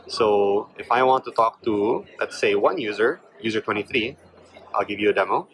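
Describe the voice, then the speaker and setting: A man's voice: fairly low pitch, normal speed, background noise. A man presenting a product demonstration in an explanatory way.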